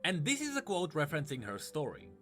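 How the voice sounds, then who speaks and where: Male game-lore narrator: high, lively swooping intonation, forceful delivery over faint music. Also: fast. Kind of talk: scripted video commentary on game lore.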